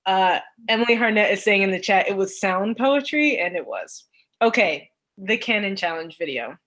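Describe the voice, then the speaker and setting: A woman's voice: medium pitch, bright, forceful tone. A woman speaking as a panelist in an unscripted academic discussion, thoughtfully searching for her words. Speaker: literature course panelist and teacher.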